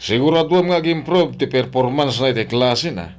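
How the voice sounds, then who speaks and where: A man's voice: medium pitch, expressive, moderate pace, faint music behind, lightly acted. An actor playing a stern, distrustful father of a student in an Ilocano radio drama, confrontational and demanding. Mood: stern, doubtful.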